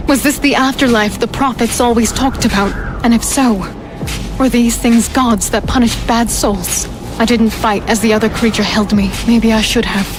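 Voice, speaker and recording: A woman playing a feisty, defiant warrior who narrates her own dark fantasy audio drama in a dramatic first-person style. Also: on the high side, lightly acted. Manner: dramatic, indignant in dialogue.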